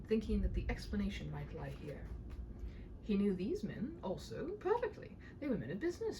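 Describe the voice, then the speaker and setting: A woman's voice: mid-range voice, slight background noise, rough recording. A woman reading a storybook aloud expressively, with chatty, casual asides. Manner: animated, chatty when stepping out of the text. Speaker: audiobook reader and host of the channel.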